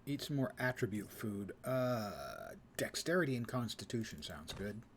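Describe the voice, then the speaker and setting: A man's voice: mid-range voice, normal speed, a little hiss or hum. A man doing casual live commentary on a video game, musing in a dry, wry, pedantic way. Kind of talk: casual solo gameplay commentary.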